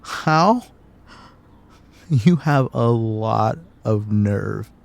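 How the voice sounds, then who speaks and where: A man's voice: fairly low pitch, lively intonation, drawn-out words, vocal fry, heavily acted. A man acting a hurt, accusatory fiancé leaving his partner in an audio drama. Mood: bitter, accusing. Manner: wounded, accusatory.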